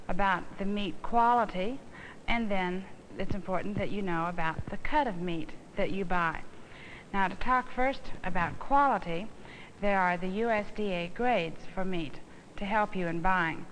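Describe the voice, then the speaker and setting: An American woman giving an instructional demonstration, explaining things in a deliberate, didactic way. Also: medium pitch, fairly bright tone. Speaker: instructional film presenter, home economist. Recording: noisy old instructional film soundtrack.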